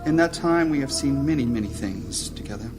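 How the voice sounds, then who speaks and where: A grave male mage and guild leader played by an actor with a mid-pitched voice. He speaks formally and with measured, worried solemnity over clear music in a fantasy audio drama. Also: animated, close to an everyday voice. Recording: music behind the voice.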